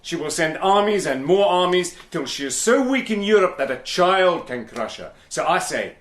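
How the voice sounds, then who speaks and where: A cool, persuasive British agent narrates and argues in a calm, measured way. His voice sits slightly high, steady and controlled, like the lead of a radio drama.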